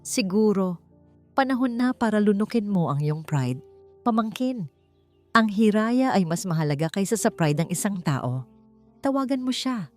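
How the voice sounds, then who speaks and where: Woman playing a cool stern uncle telling his niece to beg, quiet and firm, faint music. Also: mid-range voice, expressive, normal speed, pitched above the performer's own voice, close to an everyday voice. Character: shrewd older male uncle giving hard advice.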